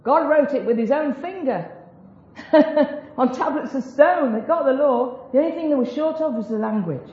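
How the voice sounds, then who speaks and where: A woman's voice: high-pitched, lively intonation, normal speed. Chatty woman teaching in an echoey room. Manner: chatty, self-deprecating asides, laughs at own jokes. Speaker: speaker teaching a group about biblical Hebrew. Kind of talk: informal Bible teaching talk. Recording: roomy, dull lo-fi recording of a talk.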